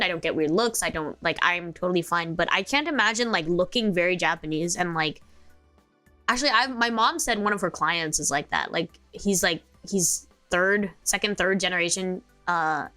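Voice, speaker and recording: A woman's voice: lowish voice, moderate pace, sharp tone, soft background music. A young American woman streaming, chatting casually and sleepily, rambling with many fillers and restarts. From United States.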